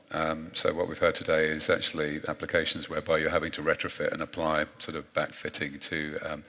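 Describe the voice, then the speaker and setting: Male panelist, very deep and monotone, fast, corporate. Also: long reverb tail. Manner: confident, corporate. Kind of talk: panel discussion.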